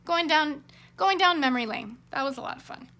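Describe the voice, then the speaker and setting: A woman hosting a craft vlog, rambling casually to her viewers, her voice a little hoarse. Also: high-pitched, animated, brisk, sharp tone. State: slightly hoarse.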